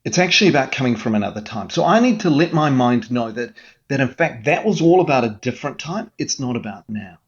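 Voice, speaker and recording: Earnest man coaching, lively pitch. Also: a little fast, muffled recording. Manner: earnest, coaching tone with frequent restarts. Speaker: mental health guest expert. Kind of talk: explanatory interview answers.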